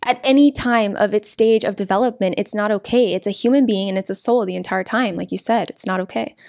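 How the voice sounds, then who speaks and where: A young woman speaking into a close podcast mic in a studio. Her voice has a gravelly fry at the edges and a middle pitch. She talks with earnest, devout conviction. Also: brisk, darkish tone. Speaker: pro-life activist guest. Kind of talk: podcast interview. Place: studio with green curtains. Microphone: desk podcast mic on boom arm.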